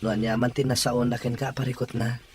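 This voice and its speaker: Young boyfriend, earnest and anxious, medium pitch, Ilocano accent, radio drama with faint background noise male actor. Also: flat delivery, fast talker, darkish tone, close to an everyday voice. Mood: pleading. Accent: Ilocano Filipino.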